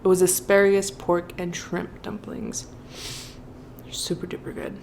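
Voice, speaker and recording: Slow-paced woman, casual mukbang chat. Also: lowish voice, little pitch movement, a little mellow, a little hiss or hum. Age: early 30s. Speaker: mukbang vlogger. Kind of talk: casual chatty storytime while eating.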